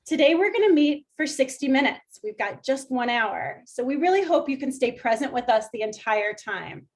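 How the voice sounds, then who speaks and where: High-voiced woman hosting a video-call workshop. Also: animated, normal speed. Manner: warm, inviting, gently instructive. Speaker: workshop facilitator. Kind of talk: welcoming online workshop host.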